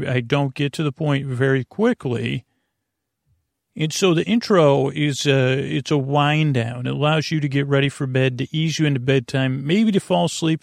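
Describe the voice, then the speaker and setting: A man with a creaky, gravelly voice hosting a bedtime podcast in a meandering, halting, reassuring monologue that keeps drifting off on tangents. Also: medium pitch, no echo, clean studio sound. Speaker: host of a bedtime sleep podcast.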